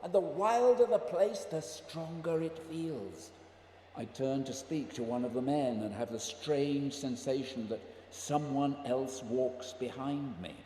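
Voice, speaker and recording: An actor as a mellow, echoing, burdened polar explorer. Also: male voice, medium pitch, unhurried, natural voice. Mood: haunted, grave. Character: brooding, burdened male polar expedition leader. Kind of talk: dramatic radio play acting. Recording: echoey reverberant room, faint noise.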